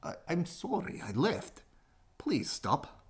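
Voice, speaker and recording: A man voicing an eager, anxious storybook bear who speaks haltingly and pleadingly. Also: a little high, wildly swooping pitch, pitched above the performer's own voice, full character voice. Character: eager, anxious bear calling out to old friend.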